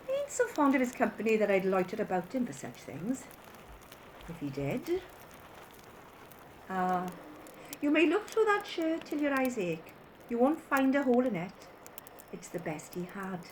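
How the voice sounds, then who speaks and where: A woman's voice: a little high, very animated, normal speed, long pauses, slight background noise, poor audio. An actress playing a brazen, callous scavenging woman in an audio drama. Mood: brazen, scornful.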